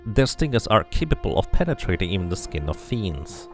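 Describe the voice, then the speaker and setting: A man's voice: deep voice, big pitch swings, a little fast, a little mellow, soft background music. A man doing a casual game playthrough, commenting and reading the game's text aloud. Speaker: game streamer.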